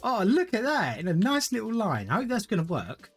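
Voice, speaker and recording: Casting: male streamer, rambling, lively voice that rises and falls, faint music behind. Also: high-pitched, a little fast. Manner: rambling, thinks aloud, chats to viewers. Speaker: gaming streamer. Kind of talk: casual live-stream gameplay commentary.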